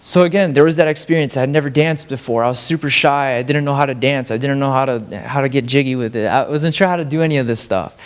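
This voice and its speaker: A man's voice: medium pitch, fast, few pauses, smooth. A young man giving a casual, rambling talk on stage as a student speaker, self-deprecating and full of fillers and restarts. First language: Farsi. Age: young adult. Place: lecture stage.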